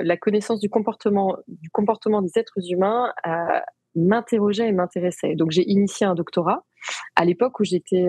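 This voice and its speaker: A woman's voice: on the low side, a little mellow, slightly rough, no echo. A woman from France with a French accent, telling her story as an interview guest with many fillers and restarts. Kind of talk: conversational interview.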